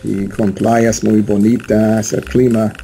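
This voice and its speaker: A man with an American English accent, a Spanish learner, answering interview questions in a halting way with many fillers. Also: on the low side, little pitch movement, vocal fry, warm tone, soft background music. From United States. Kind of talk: casual interview chat.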